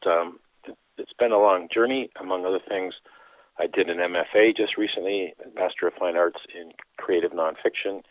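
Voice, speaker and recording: A man's voice: deep voice, dry recording. A man in his sixties from Canada, a priest and author, giving reflective interview answers with frequent restarts. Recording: tinny phone-like line.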